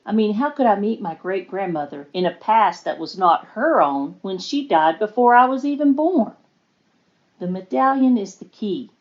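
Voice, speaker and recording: A woman's voice: medium pitch, a little mellow, dull audio. A woman playing an earnest, determined young niece reading out her letter in a dramatic, pleading way. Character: earnest, determined young niece recounting strange time travel. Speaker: voice actor in a fiction podcast.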